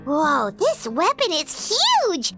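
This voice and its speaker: A woman voicing a tiny floating fairy-like companion, curious and excitable, who asks eager questions and calls herself by name, in a video game cutscene. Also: high voice, takes it slowly, heavily acted. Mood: curious, excited. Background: game music.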